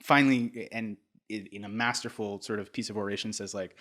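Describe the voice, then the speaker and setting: A man hosting a podcast, telling history in a casual, animated way, peppered with "like" and "right?". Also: fairly low pitch, fairly quick. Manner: animated, filler-heavy, keeps checking in with "right?". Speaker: podcast host.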